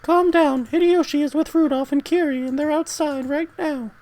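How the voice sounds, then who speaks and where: A proper, anxious lady played by a woman in an amateur radio-play style. Her voice is very high, and her wording is formal and precise. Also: fairly even intonation, normal speed, warm tone. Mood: concerned, soothing. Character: anxious, proper woman, impatient yet sympathetic. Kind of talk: amateur dramatic reading.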